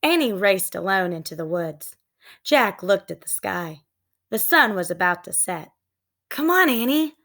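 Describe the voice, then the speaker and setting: Slow, expressive woman reading a storybook. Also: fairly low pitch. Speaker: storybook reader. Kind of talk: scripted narration for children.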